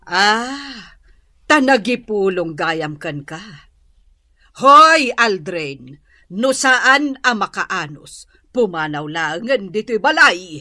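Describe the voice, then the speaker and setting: Stern, lively Ilocano mother. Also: medium pitch, takes it slowly, no echo, studio quality, heavily acted. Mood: scolding. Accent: Ilocano Filipino. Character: stern, nagging middle-aged mother. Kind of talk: Filipino radio drama acting in Ilocano.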